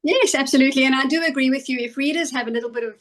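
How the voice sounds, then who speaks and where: A middle-aged South African woman answers interview questions over a video call. Her voice sits a touch high, and she speaks thoughtfully, stopping often to gather her words. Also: fairly bright tone. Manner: thoughtful, with frequent ums and pauses. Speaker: guest author. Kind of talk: conversational interview.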